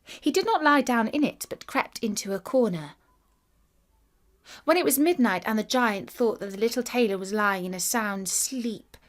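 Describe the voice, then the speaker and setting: A woman narrating a scripted fairy tale for children in a deliberate, expressive bedtime reading. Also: medium pitch. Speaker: storyteller.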